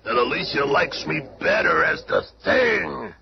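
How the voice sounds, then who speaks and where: Tough, blunt, big-hearted brute hero, hugely animated, radio drama, muffled with clear noise. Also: male voice, fairly high pitch, a little slow, exaggerated voice. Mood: tender, rueful. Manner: streetwise, blunt, emotional. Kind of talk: 1970s radio drama acting. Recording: dull, noisy old radio recording.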